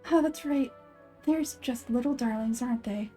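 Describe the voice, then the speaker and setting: Lady voicing a tired lovelorn young woman in a game, confiding, soft mellow tone. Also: fairly high pitch, moderate pace, faint music behind, close to an everyday voice. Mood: concerned, friendly. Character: earnest young working woman, weary and lovelorn.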